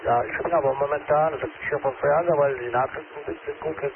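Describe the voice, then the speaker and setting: A man's voice: fairly high pitch, fairly even intonation, fast, creaky, a crowd in the background. A man with a northern German accent chatting casually over amateur radio from home, often tagging his sentences with "ne?". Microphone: handheld radio transceiver. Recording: narrow radio band with static and background noise.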